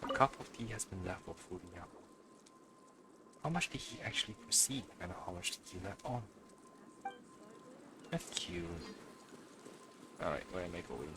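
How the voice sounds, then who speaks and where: A man's voice: low-pitched, animated, moderate pace, pauses often, heavy background noise. A man doing casual let's play commentary as a game streamer, muttering to himself as he goes. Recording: stream with loud game music over voice. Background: loud game music.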